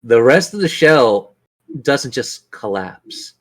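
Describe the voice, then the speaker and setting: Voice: medium pitch, sing-song, takes it slowly. A game master running a tabletop roleplaying game, narrating scenes in a theatrical, suspenseful way.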